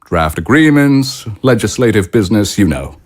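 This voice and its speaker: A male actor as a polished government leader in an audio drama. His voice sits on the low side, and he speaks with formal courtesy. His phrasing is measured and diplomatic. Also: sing-song. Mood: courteous, formal.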